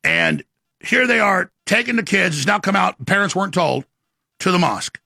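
A man's voice: high voice, wildly swooping pitch, normal speed, vocal fry, pushed and bright, no room sound, studio quality. A man hosting a political commentary show, ranting in a heated, sarcastic way. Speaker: host.

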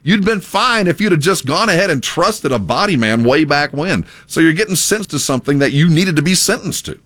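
Animated, joking middle-aged man. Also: on the high side, a little fast, no room sound. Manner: emphatic, joking, folksy. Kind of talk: casual banter.

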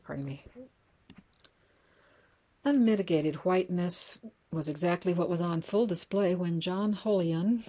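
Woman reading news aloud, measured and low. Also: warm tone. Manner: measured reading with small self-corrections. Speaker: news and book reader.

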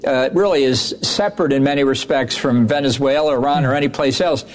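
A man, a former ambassador, speaking as an interview guest in a measured way, often repeating words as he starts sentences. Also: mid-range voice. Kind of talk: news interview.

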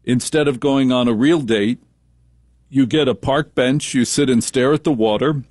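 Man, radio host, middling pitch, dry close sound, wry joking banter. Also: normal speed, darkish tone, dry recording. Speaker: late-night radio host. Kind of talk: talk radio chat.

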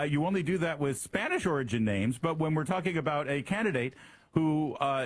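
Sarcastic middle-aged Midwestern man, husky voice. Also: on the high side. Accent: Midwestern American. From American Midwest. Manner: sarcastic, mocking exaggerated pronunciations, repeats words when starting. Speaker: radio and podcast host. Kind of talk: opinionated political talk show monologue.

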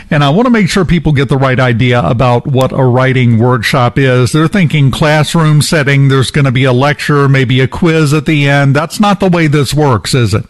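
A man hosting a casual radio interview, emphatic and joking as he stresses his points. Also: medium pitch. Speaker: radio host.

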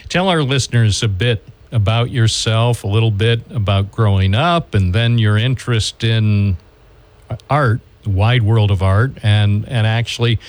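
A man hosting a local radio morning show, interviewing a guest. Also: fairly low pitch. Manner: measured, with pauses and fillers. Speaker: radio host.

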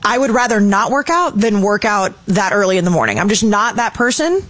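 Chatty Texas-accented woman filling in as co-host, lively storyteller. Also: mid-range voice, a little fast. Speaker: guest co-host. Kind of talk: casual chat.

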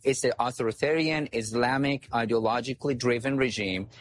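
A man from Iran, a guest analyst on a talk show, speaking firmly and with emphasis. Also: mid-range voice. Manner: firm, measured, emphatic.

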